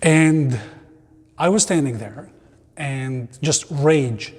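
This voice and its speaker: A man's voice: medium pitch, drawn-out words, husky. Young guy born in syria, earnest and thoughtful, restarts a lot, long hall echo. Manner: reflective, earnest, with frequent restarts. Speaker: church member, guest. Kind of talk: conversational interview.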